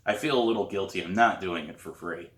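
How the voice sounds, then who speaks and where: A man narrates a story expressively, as an author reading his own book. His voice is a little low and very bright and forward, with clear room echo. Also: brisk. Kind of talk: expressive audiobook-style narration.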